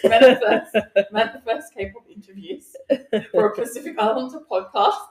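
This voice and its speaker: A woman's voice: a little high, animated, moderate pace. A woman co-hosting a podcast, joking and giggling. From Western Sydney, Australia. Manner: giggly, joking, says shout out a lot. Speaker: podcast co-host. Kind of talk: casual podcast interview. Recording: roomy echo, faint noise.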